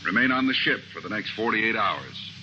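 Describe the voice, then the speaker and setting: An actor playing a brisk, genial ship's doctor in a 1950s radio drama. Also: male voice, lowish voice, expressive, natural voice. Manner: brisk, genial, bedside-manner tone. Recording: muffled old radio recording with hiss.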